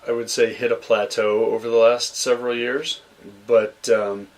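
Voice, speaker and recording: A man's voice: medium pitch, little pitch movement, moderate pace. A young man from Massachusetts, a podcast guest, telling stories about his childhood in a loose, rambling way with lots of fillers and restarts. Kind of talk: relaxed conversational storytelling.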